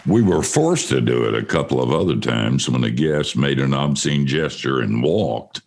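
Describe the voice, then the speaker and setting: Deep gravelly older man. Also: lively intonation, moderate pace, a little mellow. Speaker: host. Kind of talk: casual studio chat.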